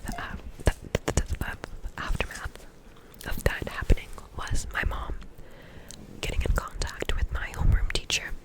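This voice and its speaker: A young woman getting over a cold, softly telling rambling, self-deprecating stories from her school days in an ASMR whisper. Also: normal speed, rough recording. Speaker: vlogger.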